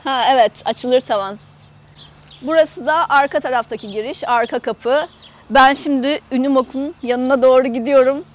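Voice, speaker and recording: Female travel vlogger, high voice, chatty and explanatory, casual walk-around tour delivered outdoors. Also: moderate pace. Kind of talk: casual guided tour to camera. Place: outdoors in an open camper park lot.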